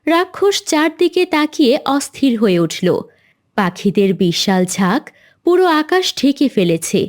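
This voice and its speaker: A woman's voice: high voice, very animated, normal speed. A woman narrating a cartoon fairy tale in a scripted storytelling style.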